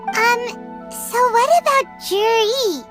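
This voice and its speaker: A woman voicing a tiny, childlike, excitable fairy companion in a video game, squeaky and exaggeratedly cute. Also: takes it slowly, heavily acted. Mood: inquisitive. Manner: exaggerated, cute, speaks of self in third person. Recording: prominent music behind the voice.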